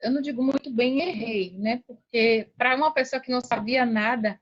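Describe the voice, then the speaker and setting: A Brazilian woman talking casually as a podcast guest, telling her experiences in an animated way and often seeking agreement. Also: a little high, normal speed. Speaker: podcast guest, affiliate seller. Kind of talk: casual conversational storytelling. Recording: dull, band-limited sound.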